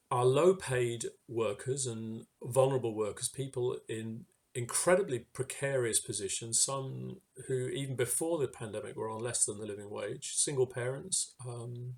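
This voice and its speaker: A man giving an informal sermon reflection in a hesitant, halting way, pausing mid-phrase. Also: mid-range voice. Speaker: church speaker giving a reflection.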